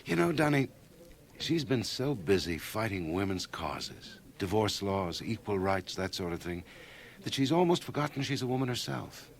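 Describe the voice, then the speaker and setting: Deep-voiced, pompous society man. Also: big pitch swings, moderate pace, creaky. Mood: confiding, smitten. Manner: hearty, pompous, confiding. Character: self-satisfied, ambitious, wealthy society man, smitten. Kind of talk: radio drama acting. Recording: 1980 radio drama on cassette.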